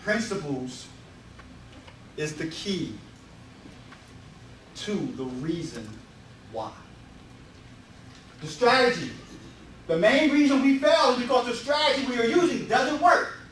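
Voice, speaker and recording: A man speaks as a motivational seminar presenter, in a high-pitched voice. He hammers his points with repetition and rhetorical questions. The recording is echoey and distant, with some faint background noise. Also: animated, slow, long pauses, fairly bright tone. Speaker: real estate seminar presenter. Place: indoor meetup presentation room.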